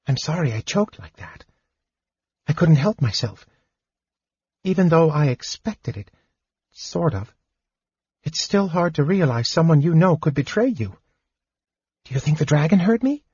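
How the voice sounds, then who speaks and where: A man voicing a small, earnest male kender who rushes his words and then turns sober. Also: fairly high pitch, very animated, darkish tone, husky, no echo, muffled recording, pitched above the performer's own voice, full character voice. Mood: wistful. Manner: eager rushing speech turning sober.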